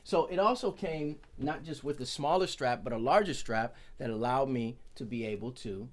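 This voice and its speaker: A man's voice: mid-range voice, normal speed. A man, a percussionist, giving a casual, chatty product review from his office, correcting himself and joking as he goes.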